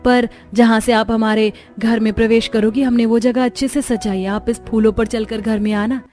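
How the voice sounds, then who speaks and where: A woman's voice: a little high, level tone, moderate pace, darkish tone, natural voice. Lady doing a loving older mother-in-law in a cartoon, welcoming, music behind. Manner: affectionate, welcoming. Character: warm, caring older mother-in-law of poor household.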